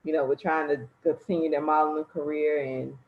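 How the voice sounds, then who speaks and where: Relaxed man in an echoey room. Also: on the high side, fairly quick. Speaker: parent panelist. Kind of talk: casual panel chat. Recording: echoey room, dull sound.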